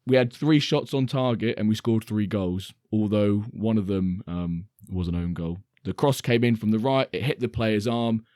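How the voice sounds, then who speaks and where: A man co-hosting a podcast, his voice slightly croaky, telling a match story in an animated way. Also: on the low side, moderate pace, hardly any pauses, dry recording, studio quality. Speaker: podcast co-host. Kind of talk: casual football chat.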